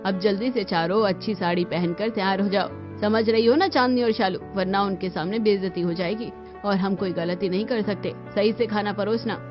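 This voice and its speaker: A woman's voice: mid-range voice, normal speed, lightly acted. A woman playing a strict, anxious middle-aged mother-in-law in a cartoon, with a scolding, fretful manner. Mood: anxious, instructing. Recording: dull lo-fi sound with music behind.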